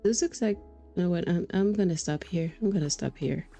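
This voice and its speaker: A woman's voice: fairly low pitch, brisk, mellow. A woman doing casual live game commentary as a streamer, informal and joking, speaking into a microphone. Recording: game music behind the voice. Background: faint game music.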